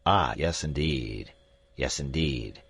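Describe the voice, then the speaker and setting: A man voicing an absent-minded elderly professor who speaks softly and slowly, muttering to himself. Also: extremely low pitch, animated, vocal fry, breathy edge, dry recording, exaggerated voice. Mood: mild, muttering. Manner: soft, slow, mumbling to himself.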